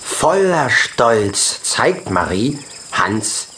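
Slow, animated male storyteller, noisy recording. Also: medium pitch. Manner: slow, deliberate, expressive storytelling. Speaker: audiobook narrator. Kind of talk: scripted children's story narration.

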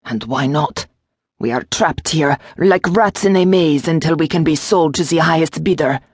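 A woman voicing a small, fretful male gargoyle demon in an audiobook, with a low, lilting French accent and wry, courtly phrasing. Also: normal speed, husky, no echo, studio quality. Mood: resigned. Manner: formal, courtly phrasing with endearments.

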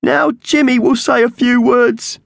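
A scrawny magpie henchman from a children's storybook. The voice is pitched very high and squawky. He talks like a nasty but sentimental underling, full of mock solemnity. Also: steady tone, a little slow, gravelly, no room sound, exaggerated voice. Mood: tearful tribute.

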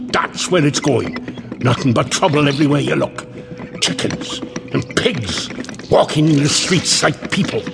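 A man's voice: medium pitch, creaky. An actor playing a grumbling, indignant, sarcastic working-man janitor in a comic radio drama, muttering his complaints.

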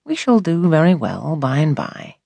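A woman voicing a kindly gentleman apothecary in a storybook reading, gentle, reassuring and musing. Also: sits low, big pitch swings, moderate pace, vocal fry, no echo, heavily acted.

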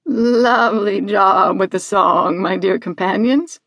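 Storybook animal: sweet lady sheep done by a woman, unhurried, carefully enunciated, full of wonder. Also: mid-range voice, a bit bright, no echo. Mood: amazed, delighted. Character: sweet female sheep newly able to talk.

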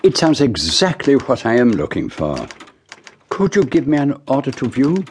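An actor playing a precise, patient, fussy detective with a French-speaking Belgian accent in a radio drama, husky and formal in manner. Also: male voice, medium pitch, huge pitch swings, a little hiss or hum. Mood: thoughtful. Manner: precise, measured, formal, gently teasing.

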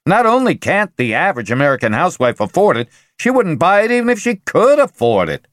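A brash, high-pitched sales executive, pushy and loud, whose ranting keeps climbing toward a shout, as a male audiobook narrator puts him on. The recording is dry and close, with no room sound. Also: huge pitch swings, a little fast, no room sound, full character voice. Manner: forceful, ranting, voice rising to shouts.

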